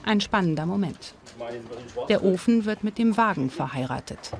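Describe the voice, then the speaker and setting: A woman narrating a documentary in a calm, measured, informative way. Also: medium pitch. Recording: voice-over with music behind. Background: music.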